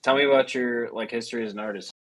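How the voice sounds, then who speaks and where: A man's voice: medium pitch, quick pace. Easygoing male host with a mid voice. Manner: relaxed, joking, hesitant with fillers. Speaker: podcast host. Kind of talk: hosting a casual voice space.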